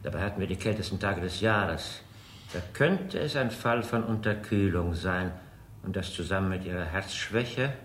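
Low-voiced investigator, echoey room. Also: male voice, moderate pace, fairly bright tone. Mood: sober, inquiring. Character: methodical, probing male police investigator. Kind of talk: radio crime drama acting. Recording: echoey room, faint noise.

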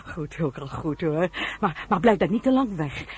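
A woman's voice: fairly low pitch, animated, normal speed, darkish tone, close to an everyday voice. An actress playing an older, fussing farm mother in a radio drama, stammering and repeating herself as she bustles. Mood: fussing, eager. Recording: noisy old radio play recording.

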